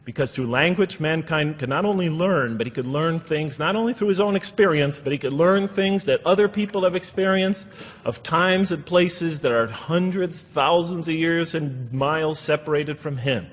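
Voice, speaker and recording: A man's voice: on the high side, normal speed. A man giving a public lecture explaining scripture, emphatic and repeating phrases for stress, in a large hall. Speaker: religious lecturer. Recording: echoey hall with long reverb.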